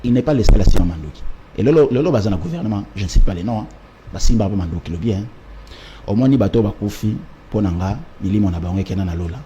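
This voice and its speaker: A man speaks in a low, dark, mellow voice. He argues emphatically and repeats himself to drive each point home. Faint room ambience sits behind him. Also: fast, a little hiss or hum. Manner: emphatic, repetitive, rhetorical lists. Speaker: political party representative. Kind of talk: political interview, mixing French and Lingala.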